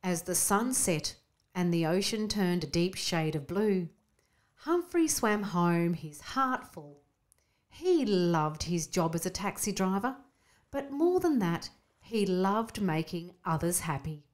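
Female children's story narrator, unhurried, expressive storybook read with animated melody. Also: fairly low pitch.

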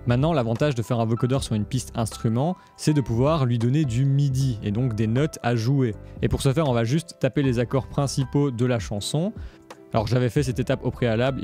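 Guy doing a casual screencast tutorial, relaxed dark tone, walks through it step by step. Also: medium pitch. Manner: explanatory, step by step. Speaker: music production tutorial presenter.

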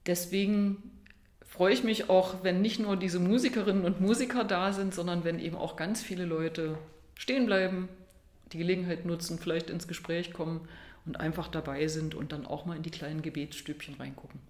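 Middle aged lady pastor chatting a welcome, lower voice, lots of room echo. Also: steady tone, a little fast, a little mellow. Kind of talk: informal welcome address.

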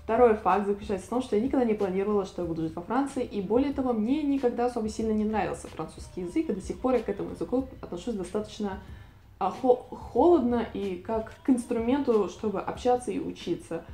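Woman, 20s, vlogger on lapel mic, warm mellow voice, casual and wry. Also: mid-range voice, expressive, roomy sound, slight background noise. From Latvia. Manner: chatty, self-ironic, with pauses and restarts. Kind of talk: casual talking-head vlog. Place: living room with bookshelf, indoors.

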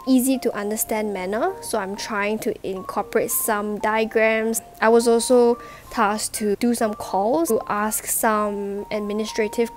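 A woman's voice: fairly high pitch, a little mellow. A woman in her twenties with a flu-stuffed, nasal voice, chatting to camera about her law internship in a casual vlog, explaining things step by step with plenty of fillers. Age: 20s. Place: indoors, home room. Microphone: wired earphone mic.

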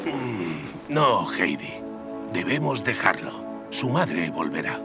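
A man playing a stern, protective old grandfather in a cartoon, speaking firmly and gravely with a commanding manner. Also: low-pitched, sing-song, slow, music in the background, exaggerated voice. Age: older. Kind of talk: animated series dub acting.

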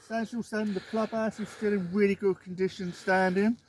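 A man's voice: high voice, little pitch movement, a little fast. A man, chatting casually outdoors and repeating himself as he thinks aloud. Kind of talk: casual chat. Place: outdoors by a grassy field. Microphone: camera a few feet away. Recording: faint outdoor background noise.

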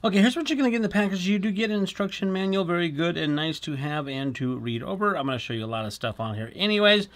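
A man's voice: sits high, expressive, quick pace, few pauses, fairly bright tone. A middle-aged man, chatty and explanatory, hosting a casual product demonstration in a small indoor studio. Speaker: product review host.

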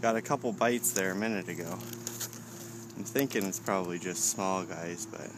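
A man with a low voice talks casually through a fishing outing, muttering in a laid-back way as if to himself. He is recorded outdoors on the water on a camera mic, with clear background noise. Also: normal speed. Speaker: fishing show host. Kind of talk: casual fishing vlog narration. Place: outdoors on a kayak on open water. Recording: noisy outdoor camcorder audio with ambient noise.

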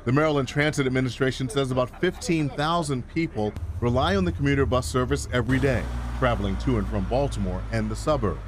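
Man, middle-aged, news reporter, scripted narration, emphatic, medium pitch. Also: slight background noise. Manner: measured, emphatic reporter delivery.

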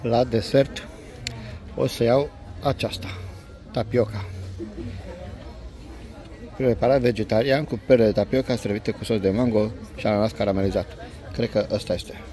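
A man doing a casual food review vlog in short, unhurried, appreciative phrases, recorded outdoors on a handheld phone. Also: on the low side, steady tone, dark tone. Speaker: food vlogger. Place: outdoor restaurant terrace by a lake.

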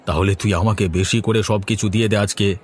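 A man's voice: lowish voice, steady tone, fairly quick, a little hiss or hum, natural voice. Man acting a hearty old Bengali village father. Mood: pleased. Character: hearty, food-loving elderly village father at market. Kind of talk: Bengali animated story voice acting.

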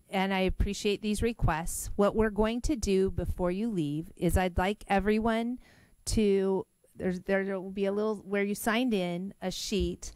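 A woman facilitating a public meeting, upbeat, brisk and organized as she lays out next steps. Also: mid-range voice, darkish tone, clean tone, no echo. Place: large meeting room.

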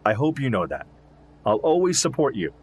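A man's voice: mid-range voice, wildly swooping pitch, normal speed, husky, full character voice. An actor playing a concerned, loyal male zoologist friend in an audio drama, speaking earnestly and reassuringly.

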